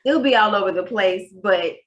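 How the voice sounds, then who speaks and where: A woman's voice: mid-range voice, normal speed, a bit bright, lo-fi sound. Casual woman hosting an interview. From Texas, USA. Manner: casual, rambling, laughs easily. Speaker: podcast host.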